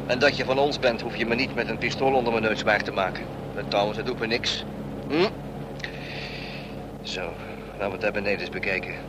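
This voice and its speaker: Acteur als laconieke, droge piloot met ruis erachter. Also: male voice, fairly low pitch, fairly quick, pauses often, close to an everyday voice. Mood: laconic, dry. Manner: laconic, grunting hums, deadpan. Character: gruff, unflappable veteran airline pilot, wry and laconic. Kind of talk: Dutch radio play acting. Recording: noisy radio play, engine ambience.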